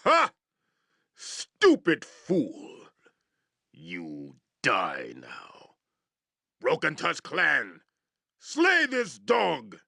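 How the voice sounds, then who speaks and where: An actor playing a brutish, boastful orc chieftain in a fantasy video game, growling threats in broken English. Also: male voice, mid-range voice, sharp tone, no echo, clean studio sound, full character voice. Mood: mocking, then furious. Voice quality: gravelly, growling.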